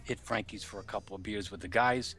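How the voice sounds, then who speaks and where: An actor playing an uneasy working-class chauffeur in a noir radio play, confiding in colloquial, hesitant speech. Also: male voice, lowish voice, fairly even intonation, a little fast, a bit bright, lightly acted. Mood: uneasy, recounting.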